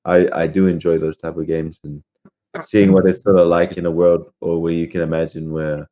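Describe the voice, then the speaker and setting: A man's voice: low voice, flat delivery, moderate pace, dark tone. A man co-hosting a podcast, talking casually about games and films. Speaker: podcast co-host.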